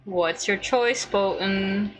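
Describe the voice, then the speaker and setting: A woman game streamer with a middle-pitched voice. The talk is casual and unhurried, reacting live as the game plays. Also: rough recording.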